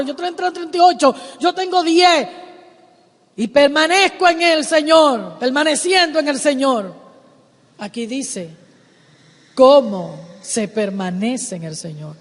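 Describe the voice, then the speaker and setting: A woman preaching a sermon in a church, emphatic, repeating her phrases and rising to shouts. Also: on the high side. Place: church sanctuary. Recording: long hall reverb.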